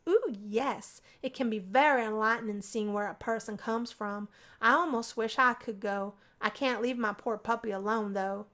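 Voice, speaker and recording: A woman voicing a nervous, teasing young female housemate in a visual novel, with an excitable manner. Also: a little high, moderate pace, close to an everyday voice. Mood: curious, teasing.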